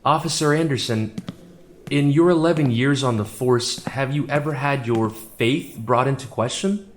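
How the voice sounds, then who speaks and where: A man's voice: medium pitch, moderate pace, close to an everyday voice. A man acting as a courtroom lawyer in an audio drama, questioning in a formal, persistent way.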